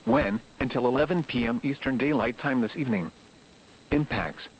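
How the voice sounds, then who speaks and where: A synthetic man's voice formally reading a scripted bulletin as an automated weather radio announcer. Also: medium pitch. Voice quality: synthetic text-to-speech voice. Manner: formal, impersonal. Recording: muffled lo-fi weather radio broadcast.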